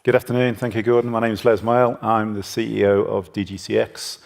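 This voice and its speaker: A man's voice: lowish voice, flat delivery, fairly quick, vocal fry. A man speaking as a chief executive introducing himself on a conference panel.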